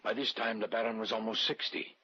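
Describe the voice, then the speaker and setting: An actor playing a grave European village man, telling an eerie tale in a solemn, measured 1940s radio style. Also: on the low side, little pitch movement, sharp tone, breathy edge, no echo. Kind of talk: 1940s radio acting, suspenseful storytelling. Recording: muffled, noisy old radio drama recording.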